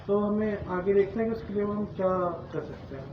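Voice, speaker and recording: A man in a group session who describes a relative's health problem in a halting way. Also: sits high, reverberant, highs cut off. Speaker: participant asking a question.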